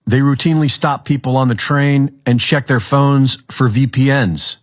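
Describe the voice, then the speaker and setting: A man narrating a scripted news report in a measured, serious way. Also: medium pitch, fairly even intonation.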